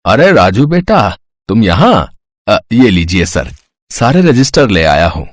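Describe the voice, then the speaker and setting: A man's voice: on the low side, big pitch swings, a little slow, no room sound. A man playing a friendly, helpful, deferential school peon in a children's story. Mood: surprised, deferential.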